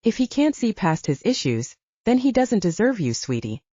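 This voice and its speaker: A woman's voice: medium pitch, big pitch swings, moderate pace, mellow, no room sound, muffled recording. A woman voicing a loyal, brash female best friend, protective and encouraging, in a romance audiobook. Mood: consoling. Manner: encouraging, blunt. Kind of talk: audiobook romance character acting.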